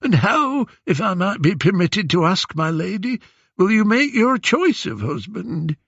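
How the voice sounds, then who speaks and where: A male narrator plays a humble old beggar from a storybook. The voice is hoarse and whining, pitched well up, with a deferential, rustic manner and lively swoops. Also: unhurried, no room sound, muffled recording, full character voice. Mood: deferential, questioning.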